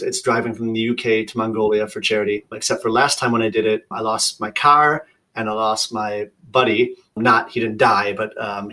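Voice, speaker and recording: A man's voice: fairly low pitch, rough recording. A man talking as a podcast guest, telling stories casually and filling his speech with "you know" and "like". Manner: lively storyteller, lots of "you know" and "like". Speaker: guest, long-distance traveler. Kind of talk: casual conversation.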